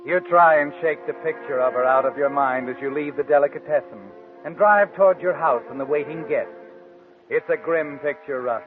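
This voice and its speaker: A man's voice: on the high side, lightly acted. An actor playing a sinister, all-knowing male narrator in a 1940s radio mystery, with an ominous, knowing delivery. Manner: ominous, knowing, deliberate phrasing. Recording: muffled vintage 1940s radio recording. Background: dramatic music.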